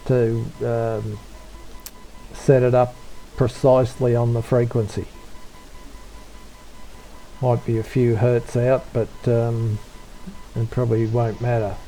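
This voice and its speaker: A man giving an unscripted software tutorial, talking in a low-key, hesitant way as if thinking aloud. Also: rough recording. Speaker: tutorial presenter, radio hobbyist.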